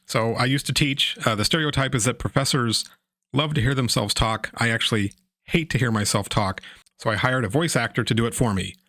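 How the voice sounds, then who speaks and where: A man's voice: mid-range voice, fairly quick, pushed and bright, dry recording, studio quality. A man hosting a video, giving conversational commentary straight to his audience. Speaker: video host.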